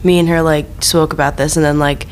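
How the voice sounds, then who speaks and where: A woman's voice: low-pitched, flat delivery, fairly quick, a crowd in the background. A teenage girl guest in a casual school chat, blunt and joking, with many "like"s. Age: teens.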